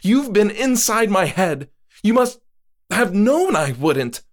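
A man voicing a frightened but defiant woman, pitching his voice far up and pleading. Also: sing-song, slow pace, heavily acted. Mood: desperate. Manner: pleading, raised and shrill.

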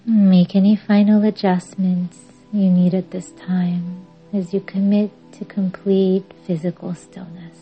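A woman guiding a yoga nidra meditation in a measured, soothing, instructing way with long pauses. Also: mid-range voice, flat delivery. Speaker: meditation podcast host and guide. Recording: dull lo-fi audio under music. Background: music.

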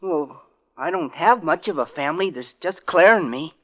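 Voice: medium pitch, animated, normal speed, fairly bright tone, muffled recording, natural voice. An actor playing a plucky, cheeky young boy assistant in a 1940s radio play, polite but brash and sure of himself. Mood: cheeky, earnest. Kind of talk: 1940s radio comedy-mystery acting.

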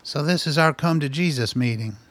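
An actor in a radio drama playing a wry, defensive middle-aged scientist who talks in an earnest, exasperated way. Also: male voice, a little high, fairly quick, a bit bright, no room sound, lightly acted. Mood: wry, guarded. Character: defensive, wry male scientist doctor, anxious and conspiracy-minded. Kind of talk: naturalistic radio drama acting.

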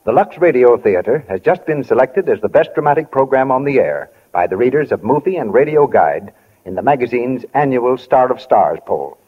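Husky American announcer, muffled vintage radio. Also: male voice, medium pitch, expressive, normal speed. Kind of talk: scripted 1940s announcing.

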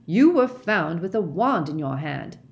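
A woman's voice: a little high, sing-song, moderate pace, pitched above the performer's own voice. A woman voicing a blustering middle-aged male wizard official who barks and growls his accusations. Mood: harsh accusing. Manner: barking, growling, loud accusations.

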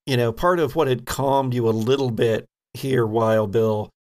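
A man improvising narration as a tabletop game master, halting as he searches for words. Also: mid-range voice, darkish tone.

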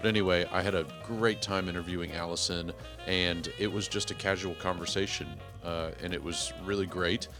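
Man in his forties, podcast host, very low voice, rambling, self-deprecating, emphatic diction. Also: steady tone, brisk, over music. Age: 40s. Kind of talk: casual podcast intro monologue.

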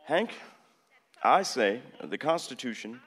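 An actor playing a wry, genial American president who argues persuasively and jokingly in a radio play. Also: male voice, medium pitch, sing-song, slow, a bit bright. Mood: debating, wry. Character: wry, genial male American president debating gun laws. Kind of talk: conversational stage-style radio drama acting. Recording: reverberant hall-like room.

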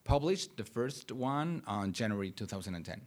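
A young man from Mexico with a Mexican accent, a Spanish speaker telling his story as a podcast guest in lively, animated bursts. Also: on the low side, normal speed. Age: young adult. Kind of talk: casual interview chat.

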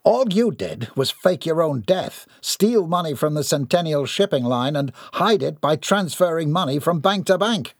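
A firm, blunt husband figure standing his ground as a male storyteller puts him on. It sits only a little above the reader's own voice, with a gravelly texture and a dry, close sound. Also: fairly high pitch, expressive, moderate pace, hardly any pauses, no echo, voice pushed up. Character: blunt protective husband, angry.

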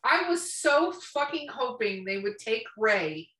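A woman's voice: a little high, sing-song, unhurried, sharp tone, reverberant, recorded from a distance. A woman chatting casually on a podcast in a blunt, teasing, profanity-heavy manner. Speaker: podcast co-host.

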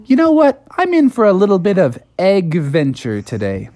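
A woman voicing a slangy, easygoing and excitable male frog in a children's story. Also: medium pitch, moderate pace. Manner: casual, exclamatory, slangy.

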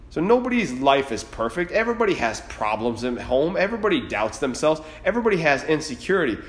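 A man's voice: mid-range voice, a bit bright. A man in his late thirties hosting a podcast, giving a blunt, fired-up motivational monologue full of swearing. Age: 30s. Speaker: podcast host. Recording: roomy echo.

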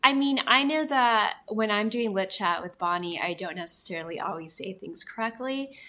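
Bright-voiced chatty woman, native Spanish speaker. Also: mid-range voice, moderate pace. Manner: chatty, many fillers like "like" and "you know". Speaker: library podcast co-host. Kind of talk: casual chat.

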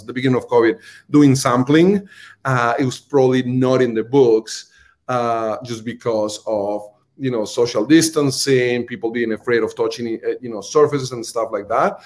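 A man's voice: mid-range voice, a little mellow. A man with a Colombian accent, a restaurant marketing executive, explaining earnestly as an interview guest, with frequent fillers and repeated words.

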